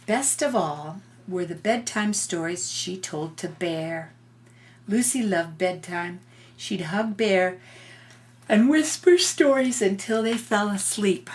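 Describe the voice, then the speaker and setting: An older woman reads a picture book to a child at a slow, unhurried pace. Her voice sits at a medium pitch, and she sounds like a grandmother, gently explaining things and adding informal asides. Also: slight background noise.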